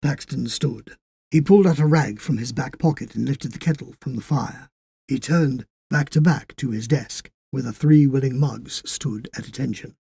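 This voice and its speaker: Male narrator, literary audiobook reading, husky, gravelly, dry close sound. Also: mid-range voice, moderate pace, warm tone, no echo. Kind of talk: scripted literary story narration.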